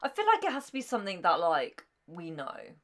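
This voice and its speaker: A woman's voice: lowish voice, huge pitch swings, brisk. Woman in casual conversation. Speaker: co-host of TV reaction show. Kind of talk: casual chat.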